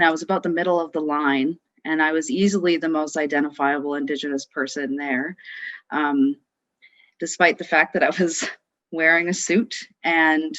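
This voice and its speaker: A woman's voice: deep voice, fairly bright tone, no echo, lo-fi sound. A woman in her twenties telling a personal story informally, hesitant and full of ums. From Canada. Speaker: law student.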